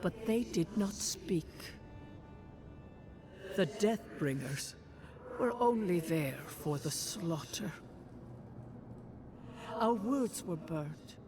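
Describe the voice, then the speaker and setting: An actor playing an ancient, solemn guardian spirit of a fallen people in a video game, speaking formally and with measured gravity. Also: mid-range voice, animated. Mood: grieving, solemn. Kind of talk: scripted dramatic game narration. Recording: game music behind. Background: clear background music.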